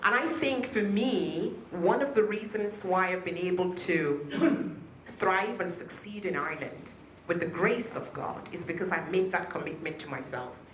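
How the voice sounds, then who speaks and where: A woman's voice: lowish voice, measured pace, a little hiss or hum. A woman from Nigeria giving an emphatic motivational talk to an audience at a peace event, drawing them in with questions. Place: hotel conference room. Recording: echoey conference room.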